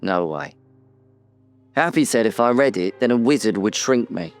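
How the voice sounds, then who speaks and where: A man's voice: fairly low pitch, lively intonation, moderate pace, faint music behind. A man playing a timid, fearful tiny storybook character in an audio drama.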